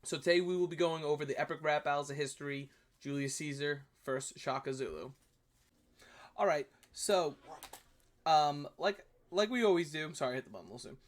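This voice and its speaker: A man's voice: on the high side, fairly quick. Rambling New York man reviewing history. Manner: rambling, self-correcting, apologetic asides. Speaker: history video host. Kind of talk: casual commentary reviewing a video.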